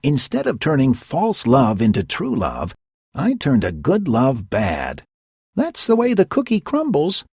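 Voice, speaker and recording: A man voicing a mischievous, scatterbrained male sprite who chats in giggly asides, with a breathy voice. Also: mid-range voice, wildly swooping pitch, measured pace, a little mellow, no room sound, clean studio sound, voice pushed up, heavily acted. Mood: amused.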